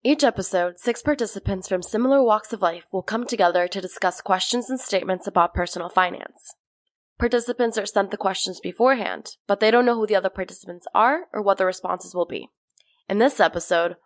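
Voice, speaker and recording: A woman host reading scripted narration in a clear, measured announcing manner. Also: mid-range voice, no echo, studio quality.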